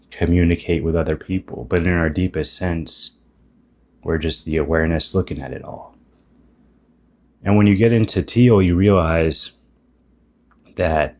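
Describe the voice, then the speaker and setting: A man's voice: low voice, a little mellow. A man in his thirties, a YouTuber talking through a reflective, self-deprecating monologue about spiritual ideas and often restarting his sentences. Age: 30s. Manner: musing, self-deprecating, thinking aloud with restarts.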